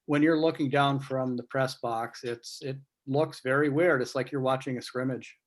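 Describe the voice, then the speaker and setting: Male panelist for casual video-call talk, measured and reflective, mid-pitched voice. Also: steady tone. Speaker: sports writer on a panel.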